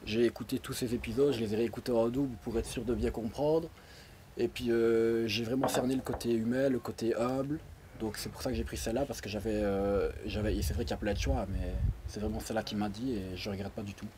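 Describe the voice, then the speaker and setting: A man in his twenties from Belgium with a Liège accent, answering interview questions hesitantly with many pauses and fillers. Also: fairly low pitch, fairly even intonation, noisy background. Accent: Liège Belgian French. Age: 20s. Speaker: interview guest. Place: outdoors by a pool.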